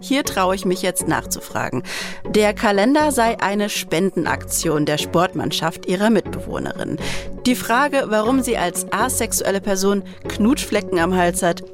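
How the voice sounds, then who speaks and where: Woman host with measured narration. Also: mid-range voice, big pitch swings, over music. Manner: clear, measured storytelling delivery. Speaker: podcast host and interviewer. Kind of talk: scripted narration and interview questions.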